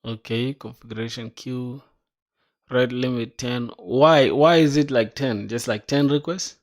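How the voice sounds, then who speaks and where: A man's voice: mid-range voice, unhurried. A young man streaming himself coding, chatting casually with viewers and thinking aloud in slang. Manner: slangy, calls viewers "bro", thinks aloud and reads docs; bursts into excited reactions between mumbled reading. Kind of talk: casual livestream chat while coding.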